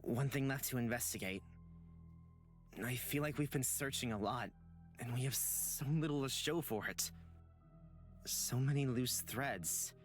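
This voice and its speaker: An earnest, anxious young man in a visual novel, voiced by a male actor. He speaks slowly and sincerely, with hesitations, over faint background music. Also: mid-range voice, natural voice. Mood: weary. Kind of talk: visual novel game voice acting.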